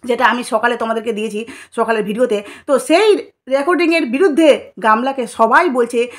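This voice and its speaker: High-voiced woman, insistent commentary. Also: a little fast. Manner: insistent, conversational, frequent check-in questions to listeners. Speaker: vlogger commenting on online controversy. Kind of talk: gossipy commentary addressed to viewers.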